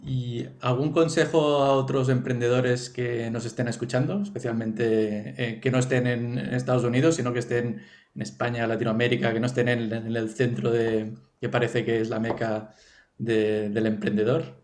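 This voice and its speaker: Flat-voiced male interviewer asking slowly. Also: mid-range voice. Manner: slow, hesitant questioning. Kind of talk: interview question.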